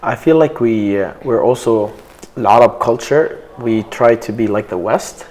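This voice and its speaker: Mellow-voiced young man, halting speech. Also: mid-range voice, low-quality recording. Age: young adult. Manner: measured, halting, restarts phrases. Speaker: panel guest. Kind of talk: casual panel discussion.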